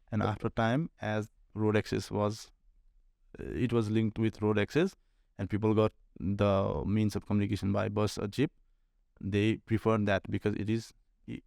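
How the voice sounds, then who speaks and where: Male podcast guest, Nepali accent, low-pitched, explanatory, rephrases often, very dry room sound. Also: vocal fry, a little mellow, no echo. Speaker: air traffic controller, podcast guest. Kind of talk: conversational interview answers.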